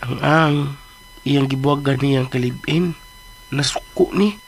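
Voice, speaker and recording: A man acting in a radio drama as a chatty, comic household helper who talks in an animated, colloquial way. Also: mid-range voice, a little slow, husky.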